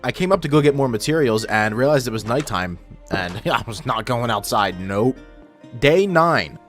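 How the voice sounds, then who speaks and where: A young man narrates a gaming video in a casual, informal voice run through a voice filter. His pitch sits in the middle range, and he is a little self-deprecating. Faint music plays behind him. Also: expressive, moderate pace, fairly bright tone. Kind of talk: casual scripted gameplay narration. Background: background music.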